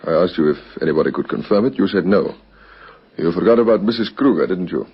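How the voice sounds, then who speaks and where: An actor playing a calm, relentless police captain, measured and formal, in an old radio crime drama. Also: male voice, deep voice, husky, heavily acted. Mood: pressing, accusing. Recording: muffled vintage radio recording.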